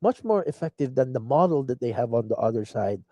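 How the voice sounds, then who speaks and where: Guy hosting a live show, very dark mellow voice, dry close sound, earnest and kinda rambling. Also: medium pitch, expressive, a little fast, dry recording. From Philippines. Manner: earnest, rambling with frequent restarts. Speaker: host of a live online show. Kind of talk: political commentary monologue.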